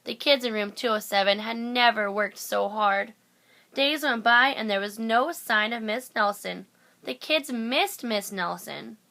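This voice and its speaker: A woman reads a children's story aloud. Her voice is fairly high, with a bright, forceful tone. She narrates as a storyteller to young listeners. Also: measured pace, creaky. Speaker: storybook reader.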